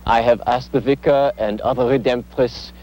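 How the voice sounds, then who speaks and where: An actor playing a humble, reluctant German immigrant priest, formal, modest and earnest in an acted interview. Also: male voice, mid-range voice, steady tone, moderate pace, darkish tone, close to an everyday voice, low-quality recording. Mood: earnest, uneasy. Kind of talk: acted documentary-style interview.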